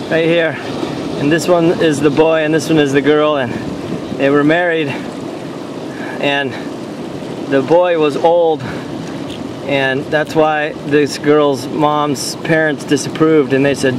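A man on a rocky beach telling made-up stories in a deadpan way to a handheld camera. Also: fairly high pitch. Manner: deadpan, tall tales told straight. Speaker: vlogger. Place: rocky beach outdoors by the surf. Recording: loud surf noise outdoors. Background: loud ocean waves.

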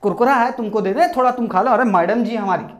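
A young man doing stand-up, retelling a movie in a rustic storyteller's manner. He shouts at the characters and acts them all out, recorded on a lapel mic in a small room. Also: very high-pitched, fast talker. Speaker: stand-up comedian. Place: small indoor room with posters. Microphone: lapel mic, camera at mid distance.

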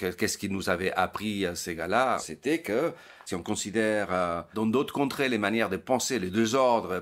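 A man's voice: lowish voice, fairly bright tone. A man in his late fifties, a psychologist giving an interview, thinking aloud and often stumbling over small repeated words as he searches for the next phrase. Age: 50s. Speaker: psychologist, guest. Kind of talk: reflective interview talk.

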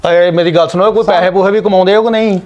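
A man's voice: sits high, steady tone, very fast, a little mellow, muffled recording, natural voice. A middle-aged man playing a boastful local politician, brash and blustering. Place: small bedroom indoors.

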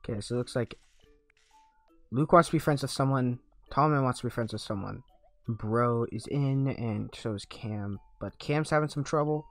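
Brief: young male YouTuber, under the weather and slightly raspy, jokey and excitable, faint music behind, mid-pitched. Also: moderate pace, dry recording. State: sick and a little raspy. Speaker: gaming YouTuber. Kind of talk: casual let's-play commentary.

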